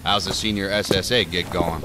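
An actor playing a bitter, sardonic federal agent in an audio drama, gruff and sarcastic. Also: male voice, deep voice, fairly even intonation, normal speed, noisy background, close to an everyday voice. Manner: gruff, sarcastic, clipped orders. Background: music.

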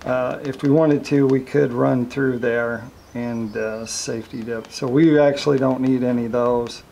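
Gravelly-voiced man demoing a chart plotter. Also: medium pitch, measured pace, slight background noise, rough recording. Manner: relaxed, many fillers, talks through each step. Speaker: marine electronics reviewer. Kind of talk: casual product demonstration.

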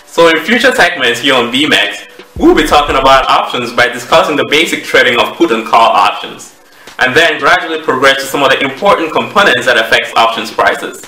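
A man in his thirties with a Cameroonian accent, giving a measured, explanatory presentation to camera as a trading educator. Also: mid-range voice, lively intonation, sharp tone. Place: small home office, indoors. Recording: roomy echo, distant microphone.

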